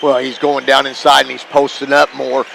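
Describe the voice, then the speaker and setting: A man's voice: mid-range voice, monotone, moderate pace, gravelly. A man giving conversational, emphatic color commentary during a live basketball broadcast. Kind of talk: live sports analysis, conversational. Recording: noisy live gym broadcast. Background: gymnasium ambience, bouncing basketballs.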